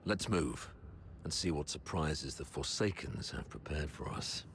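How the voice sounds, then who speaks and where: An actor playing an older, weathered army commander in a game, measured and authoritative, wry and steadfast. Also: male voice, very deep voice, slight background noise. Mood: wry, commanding. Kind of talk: game voice acting.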